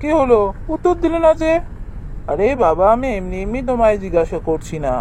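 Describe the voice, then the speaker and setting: A man's voice: high voice, sing-song, fairly quick, darkish tone, a little hiss or hum. A man voicing a guilty, anxious married writer in a horror cartoon, exclaiming and repeating himself. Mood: puzzled. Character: guilty, jumpy married male writer in ghost story. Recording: music behind the voice.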